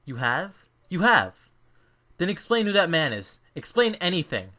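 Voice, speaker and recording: A man's voice: fairly high pitch, very animated, moderate pace, sharp tone. A man playing a stern, short-tempered mentor lecturing a trainee in a visual novel fan dub. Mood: angry, exasperated.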